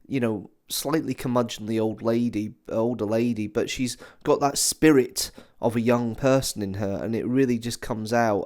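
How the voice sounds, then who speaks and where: A man's voice: on the low side, a little mellow. A man hosting a review, talking in a chatty, emphatic and enthusiastic conversational way. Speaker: host reviewer. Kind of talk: enthusiastic audio drama review.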